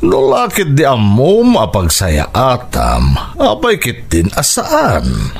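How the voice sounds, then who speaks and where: A worried, protective middle-aged father in an Ilocano radio drama. His husky voice has an Ilocano accent, moves slowly and swings dramatically up and down as he questions and disapproves. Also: medium pitch, huge pitch swings, full character voice. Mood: curious, cautious.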